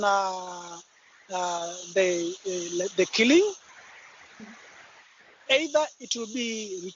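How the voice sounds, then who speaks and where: A high-pitched male voice with a Tanzanian accent explains things informally and haltingly, circling back over phrases. The sound is a dull internet call with steady noise behind it. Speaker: guest conservationist. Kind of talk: informal personal account in an online talk.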